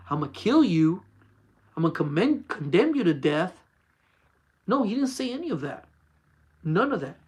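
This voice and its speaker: A man's voice: high voice, fairly bright tone, slightly rough. A man in his forties hosting a casual faith talk for his channel, speaking in an earnest, repetitive, urging way. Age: 40s. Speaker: channel host.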